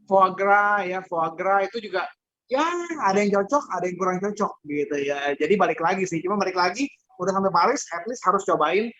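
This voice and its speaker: A man's voice: high voice, fairly quick, fairly bright tone, no room sound. A man, chatting casually as a guest on a video call and searching for words as he goes. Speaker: guest on a travel talk show. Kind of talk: casual chat. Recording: dry, dull lo-fi call audio.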